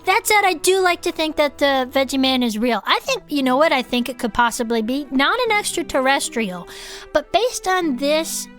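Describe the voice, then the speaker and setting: A woman's voice: sits high, animated, moderate pace, fairly bright tone, faint music behind. A woman playing a cheerful, food-loving chef who co-hosts a cryptid club, chatting earnestly in a comedic audio fiction show. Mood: playful, enthusiastic.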